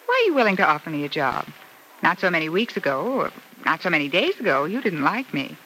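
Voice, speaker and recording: A woman's voice: low-pitched, very animated, quick pace, pushed and bright, full character voice, rough recording. An actress playing a proud, independent young working woman in a mid-century radio drama, poised and lightly coy. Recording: old radio recording with faint hiss.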